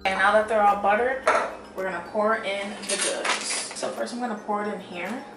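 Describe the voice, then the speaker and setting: A woman's voice: medium pitch, fairly even intonation, normal speed. Young woman chatting casually, roomy kitchen sound. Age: young adult. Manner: informal, rambling, frequent "like" and "you know". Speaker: home cooking vlogger. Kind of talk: casual chatty cooking vlog. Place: home kitchen, indoors. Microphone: camera across the table. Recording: roomy, distant camera mic, background music.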